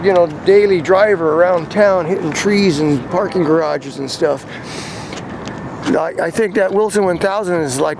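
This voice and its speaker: An American man with a slightly high, creaky voice talks informally, as if working things out aloud while he shows his gear. His delivery is casual and rambling, and there is clear background noise around him. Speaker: hobbyist vlogger demonstrating CB radio gear. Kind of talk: casual rambling demonstration to camera.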